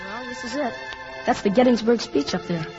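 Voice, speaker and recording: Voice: mid-range voice, normal speed, over music, lightly acted. A performer playing an eager, chatty young boy with an informal, wide-eyed gee-whiz manner in a 1950s radio drama. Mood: friendly, eager. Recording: dull, noisy 1950s radio recording.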